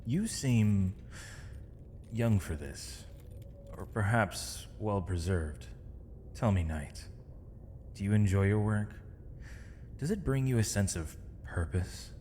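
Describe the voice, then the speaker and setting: A man playing a captured mage in an audio roleplay, defiant and weary, speaking in measured, sardonic, formal phrases. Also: lowish voice, animated, a little mellow. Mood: sardonic, probing. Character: captured male mage, defiant, weary, eloquent, accused villain. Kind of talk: scripted ASMR audio roleplay. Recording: long reverb, clear background noise.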